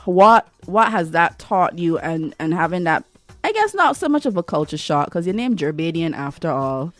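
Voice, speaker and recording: A woman hosting a radio interview, with a voice that sits on the low side. She weighs her words and draws her questions out slowly and thoughtfully. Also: big pitch swings. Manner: thoughtful, slow, drawn-out questions. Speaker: radio host.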